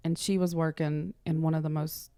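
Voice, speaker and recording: A woman's voice: sits low, level tone, normal speed, warm tone, smooth, dry recording, studio quality. A woman speaking as an interview guest, chatting casually and telling small anecdotes. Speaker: interview guest, clinic front office worker.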